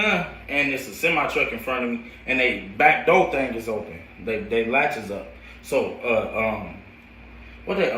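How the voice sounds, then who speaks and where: An American man who works as a coach, telling a personal story in animated, slangy casual speech and acting out the dialogue and sound effects. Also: fairly high pitch. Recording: roomy echo, distant microphone.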